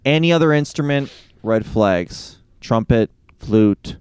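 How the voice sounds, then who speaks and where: A man's voice: medium pitch, animated, takes it slowly, a little mellow, clean tone, no echo. A man co-hosting a comedy podcast, chatting casually and joking around. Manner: joking, slips into silly put-on voices. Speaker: podcast co-host.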